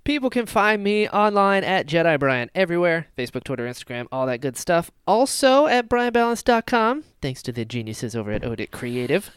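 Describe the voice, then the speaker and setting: A man's voice: on the high side, very animated, brisk, hardly any pauses, a bit bright, clean tone, no echo, clean studio sound. A man co-hosting a casual fan podcast with jokey banter. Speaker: podcast co-host.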